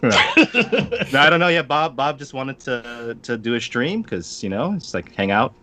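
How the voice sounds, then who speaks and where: A man co-hosting a casual live stream over a video call, relaxed and joking, reading out the chat. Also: mid-range voice, normal speed. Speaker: co-host.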